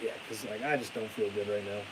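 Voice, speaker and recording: A young man chatting on a live stream with a stuffy nose and a fading voice, ranting excitably and then trailing off into tired mumbles. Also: lowish voice, fast talker. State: stuffy-nosed, losing his voice. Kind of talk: casual live stream chat. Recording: hissy home stream mic.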